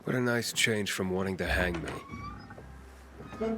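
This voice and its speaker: An actor playing a defiant young outsider in a video game, plain-spoken and sarcastic. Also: male voice, deep voice, a little slow. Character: wry, defiant young male wandering outsider. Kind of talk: naturalistic video game acting. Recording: background music under the voice.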